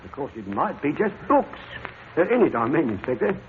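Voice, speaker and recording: A cautious, chatty station attendant in a radio play. His voice is fairly high and very lively in pitch, on a thin, slightly noisy vintage recording. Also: a little fast. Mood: uneasy. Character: cautious, fussy male station checkroom attendant. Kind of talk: vintage radio drama acting. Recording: narrow-band old radio recording, faint noise.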